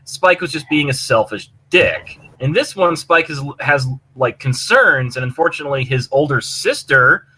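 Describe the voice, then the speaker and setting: A man's voice: on the high side, animated, moderate pace, hardly any pauses, bright, forceful tone, slightly rough, poor audio. A man co-hosting a casual fan discussion of a cartoon, acting out quotes as he retells scenes and swearing casually. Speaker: podcast co-host.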